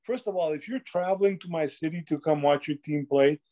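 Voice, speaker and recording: Guy on a sports panel going off, loud and emphatic, swears a lot. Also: on the high side, brisk. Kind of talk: casual sports chat.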